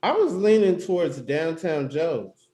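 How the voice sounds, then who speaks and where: Male actor as fun-loving young buddy, higher voice, very animated, slangy and joking table read. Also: measured pace. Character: Kev, laid-back joking young man, the fun friend.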